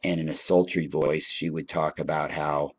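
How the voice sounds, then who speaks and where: Very deep male voice, American accent, middle-aged, rambling casual storyteller, dull lo-fi recording. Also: steady tone, moderate pace, husky, no room sound. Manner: chatty, anecdotal, repeats and circles back. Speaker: online channel host. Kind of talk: casual rambling reminiscence to listeners.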